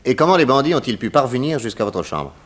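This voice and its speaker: An actor playing a self-assured, polite and inquisitive private detective in a 1960s French radio drama. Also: male voice, medium pitch, very animated, fast.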